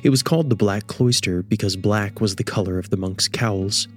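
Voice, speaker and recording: A man hosting and narrating a radio drama as a measured, scripted storyteller. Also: fairly low pitch, faint music behind. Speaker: host and narrator.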